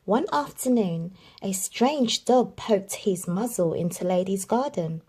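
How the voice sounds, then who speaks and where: Husky, lively woman reading a children's story. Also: mid-range voice. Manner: expressive storytelling with dramatic pauses. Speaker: narrator. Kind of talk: reading a children's picture book aloud.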